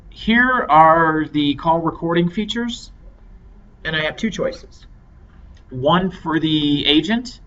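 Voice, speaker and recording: Higher-voiced male instructor, dull noisy recording. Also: a bit bright. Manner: measured, explanatory step-by-step delivery. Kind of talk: software tutorial demonstration. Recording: dull lo-fi microphone with clear noise.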